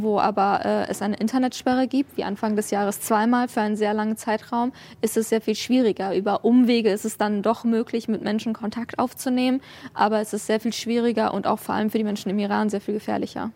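A woman's voice: medium pitch, steady tone, fairly quick, darkish tone. A woman from Germany answering as a journalist and activist in an interview, explaining things with frequent hesitant hums between clauses. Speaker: journalist and human rights activist, interview guest.

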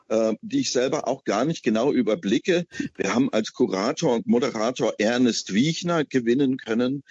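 A middle-aged man from East Germany speaks as a guest on a radio program, often pausing and filling gaps with hesitant sounds. His voice sits low and carries a breathy, husky edge. The audio is dry and a little dull, like a lo-fi broadcast. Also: a little mellow, no room sound. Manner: hesitant, many filler sounds. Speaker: festival curator.

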